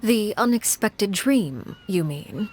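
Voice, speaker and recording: Poised, calculating leader. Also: female voice, mid-range voice, lively intonation, a little mellow. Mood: composed. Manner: formal, measured, precise diction. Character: poised, calculating adult woman leader, composed and formal. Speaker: video game character. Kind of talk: scripted game voice lines.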